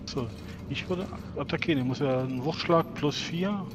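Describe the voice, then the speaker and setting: A man's voice: a little high, moderate pace. A man chatting casually as a player in a tabletop role-playing game. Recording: music bed behind voice. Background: background music.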